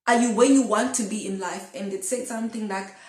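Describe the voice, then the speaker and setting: An echoey room surrounds a young woman with a mid-pitched voice. She talks casually to camera in a confessional vlog style, thinking aloud and wandering from one thought to the next. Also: steady tone, brisk. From Zimbabwe. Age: twenties. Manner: rambling, thinking aloud, self-questioning. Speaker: video blogger.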